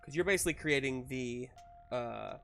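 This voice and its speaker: A man's voice: mid-range voice, moderate pace, gravelly, fairly bright tone, faint music behind. A man working as game master, improvising narration and talking through a tabletop game.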